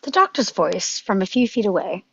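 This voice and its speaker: A woman's voice: medium pitch, big pitch swings, normal speed, vocal fry, slightly rough. A woman reading stage directions as scripted narration at a table read, on a slightly dull microphone.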